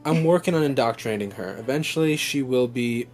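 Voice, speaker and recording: A man's voice: mid-range voice, brisk. A young adult man hosting a vlog, talking in a casual, unscripted, rambling way as an enthusiastic fan who often corrects himself. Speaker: vlog host. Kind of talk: casual unscripted unboxing chat. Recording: music playing behind the voice. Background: background music.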